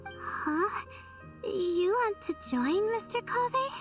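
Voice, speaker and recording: A timid young female student from a video game, very high-pitched and unhurried. She sounds anxious and sleepy, halting hesitantly between phrases. Light music plays behind her. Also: animated, recorded from a distance, full character voice. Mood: surprised. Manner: hesitant, halting, soft-hearted. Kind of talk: scripted video game voice acting.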